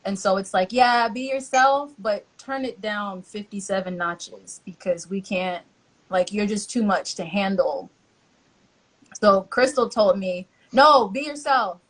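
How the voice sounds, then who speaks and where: A woman hosting a casual live-stream chat, telling animated stories and acting out quotes. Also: mid-range voice, a little slow, rough recording. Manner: lively storyteller who acts out quotes. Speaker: host, church social media helper. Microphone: live-stream call.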